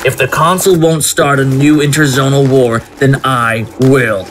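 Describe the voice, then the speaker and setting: A man plays a fanatical army captain in an audio drama. He is commanding and clipped, with a mid-range voice. Clear background noise surrounds him. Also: medium pitch, steady tone, unhurried, lightly acted. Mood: grim resolve. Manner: clipped, authoritative. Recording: noisy background ambience.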